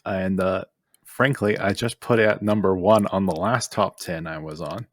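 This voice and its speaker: A man's voice: deep voice, steady tone, moderate pace, no echo, studio quality. A man chatting casually as a podcast panelist, making plain, brief statements. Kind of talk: casual chat.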